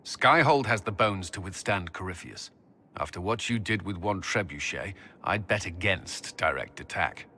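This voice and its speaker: Stern army commander, very deep but sharp, firm delivery, game dialogue w bit of ambient noise. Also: male voice, animated, moderate pace, full character voice. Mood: resolute. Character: stern, confident male military commander. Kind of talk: video game cutscene acting.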